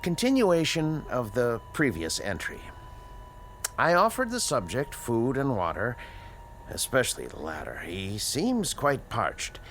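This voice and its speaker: Slow, swooping, formal scientist. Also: male voice, mid-range voice, a bit bright, a little hiss or hum. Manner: precise, formal, measured diction with dramatic pauses. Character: educated, uneasy male scientist, inventor of a time machine. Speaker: radio drama actor. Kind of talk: radio drama acting, dialogue and dictated log narration.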